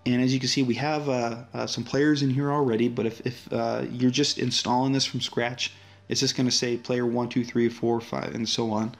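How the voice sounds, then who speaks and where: A man's voice: mid-range voice, normal speed, faint music behind. A man, the app's developer, casually walking through a demo of his app with frequent fillers and restarts. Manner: relaxed, friendly walkthrough with frequent fillers.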